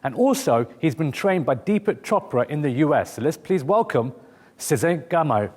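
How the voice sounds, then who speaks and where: A man's voice: fairly high pitch, sing-song, normal speed, a little mellow, breathy edge, long reverb tail. A man hosting a talk show, presenting topics and interviewing his guest.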